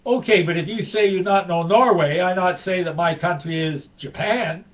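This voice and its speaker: A man's voice: high-pitched, moderate pace, lo-fi sound, close to an everyday voice. A man voicing a puzzled Norwegian tourist who speaks broken English.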